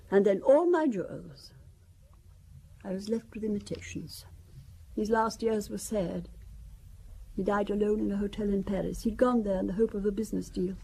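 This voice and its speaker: A woman's voice: medium pitch, warm tone, husky, rough recording. An older woman playing a genteel, chatty French widow in an audio drama, confiding and wistful as she reminisces. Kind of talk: audio drama acting, conversational storytelling.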